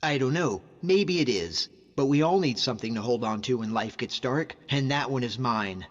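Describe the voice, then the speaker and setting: A man plays a young Nordic hunter from a fantasy video game, loyal and devout. He talks in an earnest, informal way at a medium pitch. The sound is slightly muffled, with a long reverb and music behind him. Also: lively intonation, moderate pace, close to an everyday voice. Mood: hurt, subdued. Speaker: video game follower character. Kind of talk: conversational game character voice acting.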